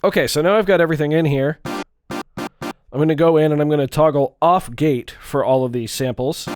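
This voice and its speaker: A man with a stuffed-up nose, talking through a relaxed, chatty walkthrough of his music gear. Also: fairly high pitch, moderate pace. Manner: relaxed, chatty, with fillers and small restarts. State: stuffed up, congested. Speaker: music gear video creator. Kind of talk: casual tutorial walkthrough.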